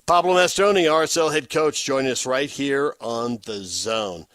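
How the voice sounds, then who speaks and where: A man's voice: mid-range voice, normal speed, fairly bright tone, no echo, clean studio sound. An American man working as a sports radio host, interviewing guests and reading promos. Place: radio studio.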